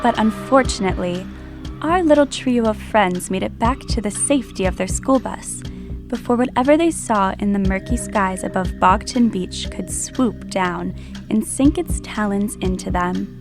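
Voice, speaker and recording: Creaky ghoulish horror storyteller voiced by an actor. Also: medium pitch, normal speed, music in the background, natural voice. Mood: sinister relish. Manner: relishing, sinister. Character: ghoulish monster storyteller savoring children's fright. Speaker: horror podcast narrator. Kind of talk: theatrical scripted horror narration.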